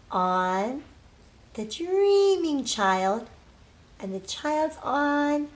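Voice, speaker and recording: A woman teaching young children in a read-aloud lesson, asking questions, pausing and drawing out key words for emphasis. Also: on the high side. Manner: prompts with questions, pauses, affirms answers, drawn-out emphasis on key words. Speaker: early literacy teacher.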